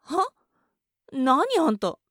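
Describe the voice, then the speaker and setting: A woman's voice: sits high, sing-song, extremely slow, fairly bright tone, no room sound, studio quality, exaggerated voice. A woman acting a contemptuous, domineering young woman in an adult audio roleplay, mocking and bossy. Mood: scornful.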